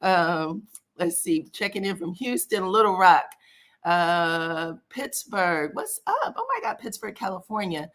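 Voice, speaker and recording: A woman's voice: on the low side, sing-song, unhurried, fairly bright tone. A woman hosting a casual live-stream gossip chat, scratchy voice, talking folksy and direct to her viewers. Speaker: celebrity gossip YouTuber.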